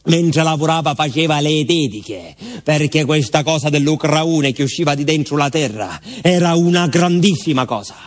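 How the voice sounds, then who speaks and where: A man's voice: on the high side, normal speed, few pauses, lightly acted. An actor playing a garrulous, sly village postman with a southern Italian accent, telling his story as a colloquial, confiding stage monologue. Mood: stirred, fond. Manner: colloquial, dialect-tinged, confiding asides to a listener.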